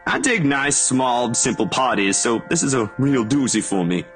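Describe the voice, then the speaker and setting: A man's voice: mid-range voice, expressive, normal speed, a bit bright, close to an everyday voice. An actor playing a kind, slightly awkward young guy in a visual novel, informal and slangy. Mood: awkward, easygoing. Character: Zachary, awkward, kind, laid-back casual young guy. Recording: music playing underneath. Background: game music.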